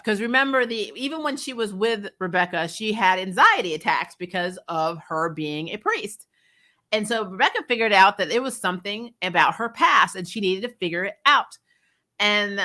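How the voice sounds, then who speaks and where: Bright-voiced woman chatting casually. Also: mid-range voice. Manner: animated storytelling, laughs often, frank and salty. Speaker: host of a TV recap show. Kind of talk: casual chatty episode review, reacting to live comments.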